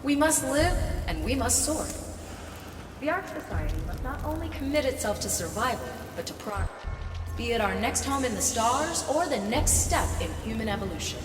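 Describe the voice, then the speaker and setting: A woman playing a proud, fervent leader of an elite society in a video game, giving a lofty, rousing public speech. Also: fairly high pitch. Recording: echoey hall from far off, music.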